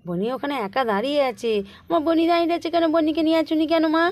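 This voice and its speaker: A woman's voice: high voice, lively intonation, very fast, a little mellow, no room sound, pitched above the performer's own voice. A woman voicing a sleepy young daughter, whiny and full of puzzled questions, in a children's cartoon.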